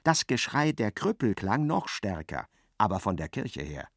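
A man narrating a literary radio drama as a wry, intense storyteller, expressive and precise, with a creaky voice. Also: lowish voice, normal speed, no room sound, studio quality. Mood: dry, dramatic.

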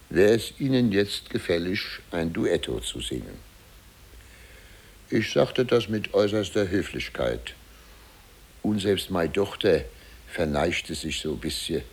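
An actor playing a middle-aged, self-important, flustered mayor, telling a story dramatically with hesitations in a 1950s radio play. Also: male voice, deep voice, a little mellow, exaggerated voice. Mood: polite, hesitant. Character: flustered, self-important small-town German mayor recounting a scandal.

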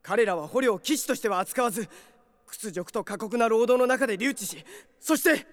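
A high-voiced, hot-blooded young knight. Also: male voice, expressive, moderate pace, big-room sound. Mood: indignant. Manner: formal, urgent. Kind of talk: Japanese radio drama acting.

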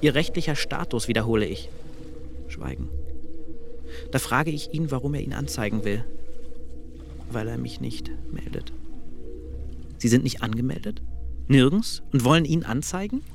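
An exasperated man in a radio-play monologue. His medium-pitched voice is gravelly and his phrasing terse, over clearly audible background noise. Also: lively intonation, normal speed, pauses often. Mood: agitated recounting. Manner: clipped, terse retelling. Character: exasperated man recounting a stubborn encounter.

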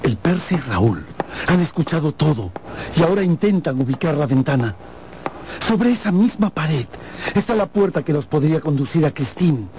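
A man's voice: fairly high pitch, very animated, moderate pace, dark tone, slight background noise. A man giving dramatic scripted narration for a radio play. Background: music.

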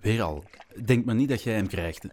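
A man's voice: on the low side, expressive, normal speed, darkish tone. Beweeglijke Vlaamse man, kibbelende hondengek door een acteur. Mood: defensive, bickering. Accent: Flemish. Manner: repetitive, muttering, colloquial. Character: bickering, clumsy policeman ex-partner, besotted with his dog. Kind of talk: naturalistic comedy radio drama acting.

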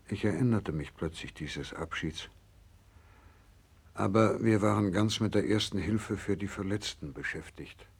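An actor playing a grave, resolute doctor who survived a disaster, in a 1960s German radio play, solemn when narrating and commanding when giving orders. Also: male voice, sits low, husky, heavily acted. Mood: solemn, remembering. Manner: solemn measured narrating, urgent commanding in dialogue. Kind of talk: 1960s German radio drama acting, first-person narration.

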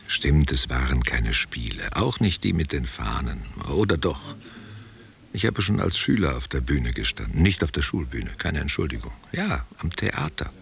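Very deep, gravelly old man reminiscing. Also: exaggerated voice. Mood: tender, teasing. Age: older. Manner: musing, rhetorical questions, intimate address. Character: old former actor and war veteran, reminiscing, tenderly condescending. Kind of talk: literary radio play monologue.

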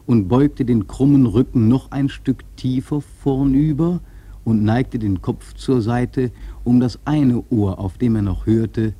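A man's voice: medium pitch, unhurried, warm tone, slightly rough, no echo. A man narrating a radio play in a literary, scripted storytelling style.

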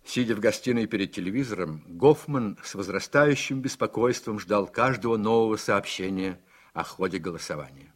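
A man narrating a political radio drama in a measured, literary storytelling manner, as an actor. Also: on the low side, natural voice.

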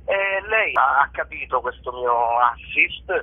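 A man's voice: medium pitch, animated, takes it slowly, slightly rough. Phone-line man, enthusiastic but hesitant interviewee. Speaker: stage actor being interviewed. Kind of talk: casual radio interview.

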